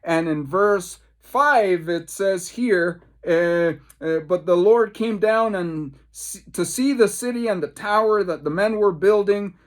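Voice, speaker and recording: A man from the Caribbean, a pastor leading a devotional Bible study, tells personal stories emphatically, repeating phrases and pausing for effect. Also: sits high.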